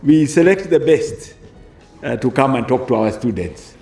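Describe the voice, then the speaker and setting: A mellow-voiced man speaks formally as he introduces a guest. The sound is echoey, with faint music underneath. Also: mid-range voice, expressive, a little slow. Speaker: university academic introducing a speaker.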